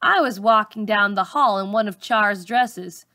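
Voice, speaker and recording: A woman reading a first-person story aloud in an expressive, dramatic teacher's storytelling style. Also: medium pitch, measured pace.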